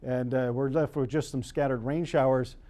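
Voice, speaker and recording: A man's voice: medium pitch, fairly even intonation, normal speed, mellow. An American man working as a TV weather forecaster, ad-libbing live storm coverage in an explanatory way. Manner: explanatory, unscripted.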